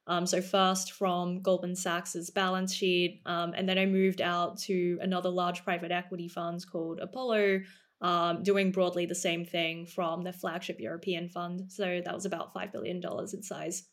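A woman in her thirties from Australia, a startup co-founder explaining her business in a podcast interview, polished and measured with frequent "um"s. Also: on the low side, little pitch movement. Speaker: guest, startup co-founder and CEO.

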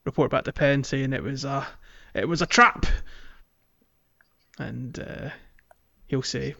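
Male podcast co-host, mid-pitched, halting and rambling casual gaming chat, slightly muffled lo-fi audio. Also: vocal fry, pushed and bright. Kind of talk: casual video game playalong chat.

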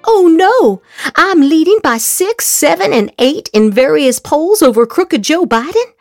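A woman's voice: high voice, sing-song, measured pace, darkish tone, dry recording, faint music behind. A woman podcast host doing a theatrical dramatic reading of social media posts, with exaggerated, emphatic inflection.

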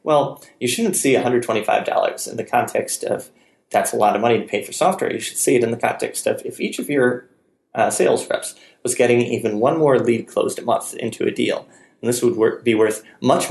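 A man hosts a casual podcast in a chatty, rambling way, poking fun at himself. His voice has a gravelly fry. The room around him is echoey. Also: mid-range voice, fairly quick, a little mellow. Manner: chatty, rambling, self-deprecating. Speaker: podcast host. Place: room in Palo Alto, California.

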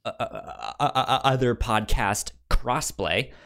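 Male podcast co-host, unhurried pace, stammering repeats, casual movie talk. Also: medium pitch, animated, vocal fry, a bit bright. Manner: stammers, repeating syllables and words. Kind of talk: casual film discussion.

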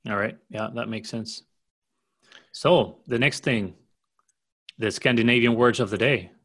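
A man of middle pitch co-hosting a podcast. He sounds relaxed and friendly, and explains things with a dry, light humor. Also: unhurried. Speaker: podcast co-host. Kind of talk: casual technical chat.

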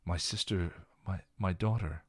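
A man voicing a fierce, defiant young woman who speaks forcefully in short, clipped lines. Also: sits low, unhurried, dry recording, studio quality. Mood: anguished.

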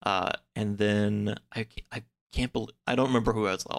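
A man in a casual podcast chat, recapping a story in a halting, hedging way. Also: lowish voice, steady tone, creaky. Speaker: podcast speaker discussing books.

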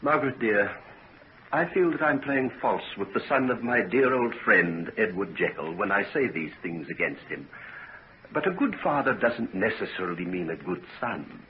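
A grave, suspicious father of middle age in a 1940s radio serial. His low voice swings widely as he issues solemn, deliberate warnings. The old recording is dull, with faint noise. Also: big pitch swings. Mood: uneasy, grave. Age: middle-aged. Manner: earnest, solemn, deliberate delivery. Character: grave, suspicious, protective father of a grown daughter. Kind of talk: 1940s radio drama acting.

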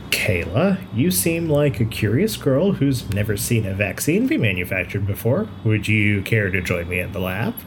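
A kindly, considerate hospital pathologist played by a man in an audio drama. The talk is brisk and chatty, thinking things through aloud. Ambient noise is clear behind the voice. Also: fairly low pitch, natural voice. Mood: brisk, encouraging.

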